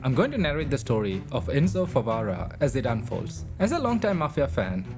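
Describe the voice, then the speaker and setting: A man's voice: medium pitch, very animated, fairly quick. A man whose first language is not English, casually retelling a game's story as a video narrator. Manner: chatty, informal retelling. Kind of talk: casual game story recap. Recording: voice-over with loud game music underneath.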